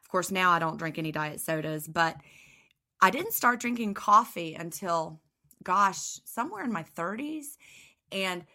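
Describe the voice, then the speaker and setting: A woman co-hosting a podcast, a teacher whose voice is slightly hoarse, talking in a friendly, chatty way with some restarts. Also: on the low side, animated, a little slow, pushed and bright. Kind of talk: casual podcast chat.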